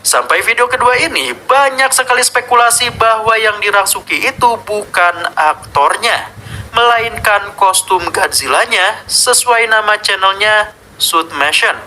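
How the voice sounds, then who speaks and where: This male narrator has an Indonesian accent and a high, intensely bright voice. He delivers scripted horror explanation with informal asides to viewers. Clear noise sits behind the speech. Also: expressive, a little slow, rough recording. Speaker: video narrator and host.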